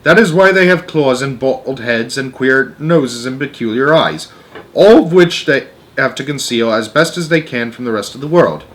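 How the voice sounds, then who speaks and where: Man as old grandmother, storybook character, knowing, grave, emphatic, lively intonation. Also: mid-range voice, normal speed, close to an everyday voice. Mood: emphatic, relishing. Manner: emphatic, matter-of-fact explaining. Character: old grandmother, knowing, grave, relishing grim witch lore. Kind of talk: storybook read-aloud character.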